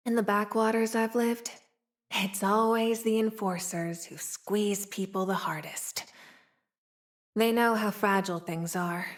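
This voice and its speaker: A woman's voice: medium pitch, steady tone, unhurried, lightly acted. A young woman voicing a playful, streetwise, wry frontier woman with a tail in a video game. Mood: wistful, world-weary. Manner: teasing, sly, drawling, sighing between wry remarks. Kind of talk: video game character voice lines.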